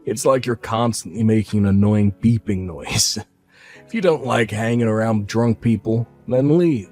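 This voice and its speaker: A man's voice: fairly low pitch, moderate pace, a little mellow, faint music behind, lightly acted. A man voicing a careless younger brother who mocks his sister dismissively. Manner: dismissive, mocking.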